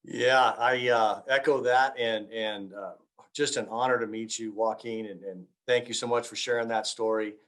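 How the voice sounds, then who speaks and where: A middle-aged man giving earnest, informal remarks as a police officer, often halting as he searches for words. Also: mid-range voice, fairly even intonation, fairly bright tone. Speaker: police officer speaking at a community forum.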